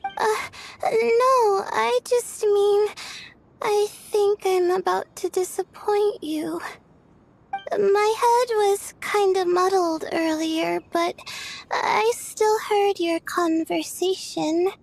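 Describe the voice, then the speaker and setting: A woman voicing a sleepy, apologetic young scholar in a video game, speaking hesitantly and softly. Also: extremely high pitch, extremely slow, creaky, exaggerated voice, low-quality recording. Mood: drowsy, apologetic. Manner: hesitant, soft-spoken, full of "uh"s.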